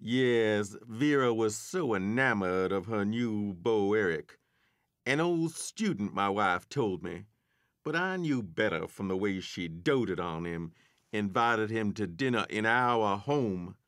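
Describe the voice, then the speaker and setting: An actor playing a jealous, snobbish husband narrating an audio drama in a formal, measured way. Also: male voice, lowish voice, expressive, a bit bright, no room sound, clean studio sound. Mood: bitter. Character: jealous, snobbish husband recounting his wife's deceit.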